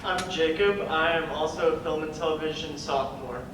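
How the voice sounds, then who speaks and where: Young man, slightly high voice, casual panel talk, reverberant hall, background noise. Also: normal speed, over a noisy crowd. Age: young adult. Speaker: student writer on a panel. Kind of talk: casual question-and-answer talk. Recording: echoey hall, distant mic, clear noise.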